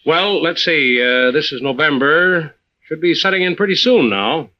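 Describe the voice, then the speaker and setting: A man's voice: on the high side, expressive, normal speed, close to an everyday voice. A man playing a hearty, genial seasoned seaman who runs a supply boat, in old-time radio drama style. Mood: reminiscing. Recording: dull vintage radio recording, faint noise.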